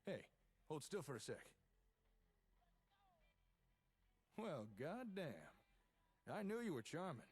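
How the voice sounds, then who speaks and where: An easygoing tinkerer from a post-apocalyptic game, played by a man, with a highish, lively voice. He is folksy and chatty, explaining technical things with keen enthusiasm. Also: moderate pace, breathy edge, heavily acted. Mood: teasing, pleased. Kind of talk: conversational video game dialogue.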